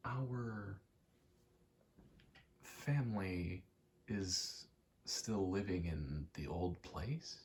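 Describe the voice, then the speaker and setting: A young adult man in a quiet, casual ASMR-style ramble, speaking candidly and hesitantly, often trailing off. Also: low-pitched, extremely slow, pauses often. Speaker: video maker.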